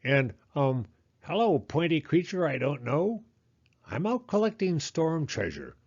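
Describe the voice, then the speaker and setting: A man's voice: a little high, very animated, unhurried, darkish tone, breathy edge, highs cut off, pitched above the performer's own voice, exaggerated voice. A man doing a fussy, chatty hen. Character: fussy chatty female hen, proud collector.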